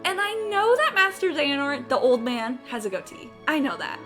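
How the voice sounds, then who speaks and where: A woman's voice: high-pitched, big pitch swings, a little fast, fairly bright tone, faint music behind. A woman livestreaming a game with casual, blunt, sweary, opinionated commentary.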